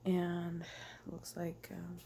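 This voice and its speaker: A woman in her thirties, from Taiwan, who has a cold, talking slowly and haltingly in a casual personal monologue, slipping now and then into a whisper. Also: lowish voice, completely flat delivery, creaky, warm tone, a little hiss or hum. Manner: halting, many ums and restarts, drifting into whispers. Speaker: vlogger.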